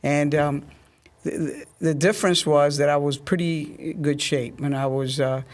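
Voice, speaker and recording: A man's voice: a little high, fairly even intonation, measured pace. A middle-aged man telling his story as a guest in a conversational interview. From Dominican family roots. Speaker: guest, army officer.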